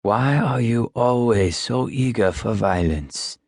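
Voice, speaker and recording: A man voicing a wise, patient old male mentor in an audiobook, quiet and unruffled. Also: mid-range voice, animated, dry recording, clean studio sound. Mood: calm, sage. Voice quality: quiet, hushed. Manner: slow, sage, unruffled. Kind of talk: audiobook character dialogue.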